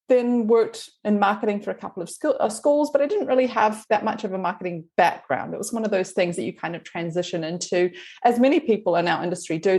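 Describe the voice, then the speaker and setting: A woman in her forties from New Zealand with a mixed Kiwi accent, a guest telling her career story in a relaxed interview, full of ums and easy laughs. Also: on the low side. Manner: chatty, many ums, laughs easily. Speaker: guest, marketing consultant. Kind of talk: conversational interview.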